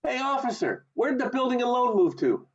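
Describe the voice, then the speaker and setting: A bewildered, agitated everyman in an amateur radio play, voiced by a woman. The voice is highish and swings about in pitch with urgent stammers. It comes through a dull video-call line. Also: big pitch swings, normal speed. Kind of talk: community theatre radio play acting.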